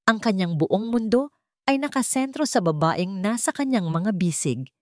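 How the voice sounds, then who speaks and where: A woman's voice: medium pitch, animated, normal speed, dry recording, studio quality. A woman with a Filipino accent narrating a dramatic audiobook story from a script.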